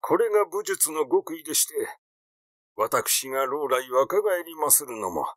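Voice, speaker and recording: A man's voice: a little high, big pitch swings, measured pace, fairly bright tone, dry recording, studio quality. A man voicing an aging master swordsman in an audiobook, vain yet meekly pleading, with stiffly polite, old-fashioned speech. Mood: earnest, defensive. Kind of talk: audiobook character reading.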